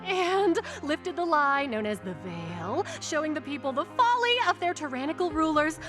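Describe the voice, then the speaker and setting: A very high, swooping female voice for a video game villainess, an obsessive mad inventor. She gushes theatrically and seductively, with a manic edge, over background music. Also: big pitch swings, moderate pace. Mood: admiring, gushing. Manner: gushing, theatrical, seductive, swinging into mania.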